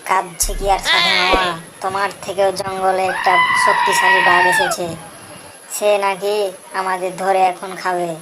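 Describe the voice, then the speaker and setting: A woman's voice: medium pitch, normal speed, heavy background noise, lightly acted. A woman voicing a clever, defiant jackal scholar in a cartoon, speaking emphatically. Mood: mock distressed. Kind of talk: cartoon dubbing.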